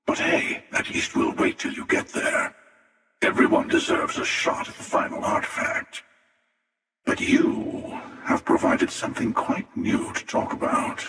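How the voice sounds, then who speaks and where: A cynical, world-weary hunter from a video game, speaks in a heavily creaking, gravelly voice soaked in cavernous echo. His delivery is slow and sardonic, full of amused menace and theatrical weight. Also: lowish voice, fairly bright tone, full character voice. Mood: cool threat. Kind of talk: scripted video game character dialogue. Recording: cavernous echoing reverb, distant.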